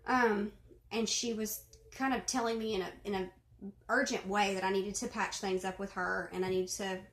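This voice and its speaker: Young woman, casual vlog chat, mid pitch, roomy echo, rambling with fillers. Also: fairly even intonation, normal speed, a bit bright. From Arkansas. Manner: chatty, many fillers, clears throat. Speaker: video host responding to a reading. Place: bedroom at home.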